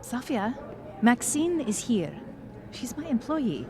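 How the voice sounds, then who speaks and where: A woman's voice: on the high side, big pitch swings, takes it slowly, heavily acted. A woman voicing a composed, formal middle-aged mother and boss, guarded and proper, in a video game. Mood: stern, restrained. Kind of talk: naturalistic video game acting.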